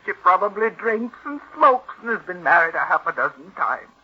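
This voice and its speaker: An actress playing a bitter, imperious, reclusive old invalid aunt in a 1940s radio drama, curt and suspicious. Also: female voice, mid-range voice, very animated, normal speed, bright, forceful tone, husky, full character voice. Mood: cool, appraising. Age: older. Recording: muffled vintage radio drama recording.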